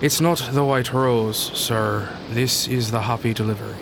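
Subdued, medium-pitched ship's officer played by a man. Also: steady tone, moderate pace, noisy background, lightly acted. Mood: grim. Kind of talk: pirate radio drama acting.

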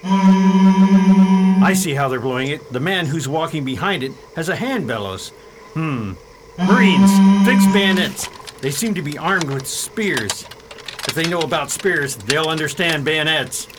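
A man's voice: a little high, expressive, a little slow. A man playing a watchful space marine major in a science fiction radio drama, with an emphatic military manner. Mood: alert. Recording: very noisy amateur drama recording.

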